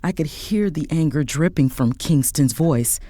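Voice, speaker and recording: A woman playing a hurt adult daughter who narrates her family's rift in a measured first-person audio drama. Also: low voice, dark tone, no room sound, studio quality. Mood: wounded, reflective.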